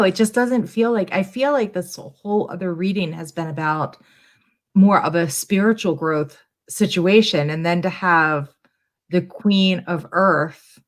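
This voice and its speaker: Slow-paced woman, hesitant and casual. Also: lowish voice. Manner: hesitant, thinking aloud. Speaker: guest tarot reader. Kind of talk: casual chat.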